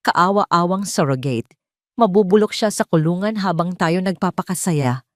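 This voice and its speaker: A woman's voice: on the low side, moderate pace, dry recording, clean studio sound, lightly acted. A woman voicing a scheming female villain, mocking and triumphant, in an audio story. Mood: gloating.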